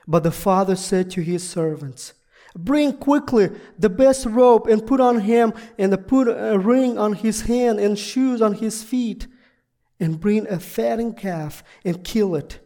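Slow-speaking preacher, native Russian speaker. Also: medium pitch, warm tone. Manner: halting, deliberate delivery in second language. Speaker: guest preacher. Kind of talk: sermon with prayer and scripture reading.

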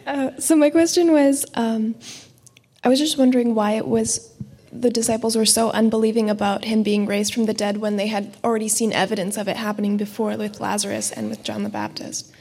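A woman with a mellow, breathy voice, pitched fairly high, asks a question in a Bible study group. She speaks tentatively, working her way into the question. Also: brisk. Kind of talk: asking a question in group discussion.

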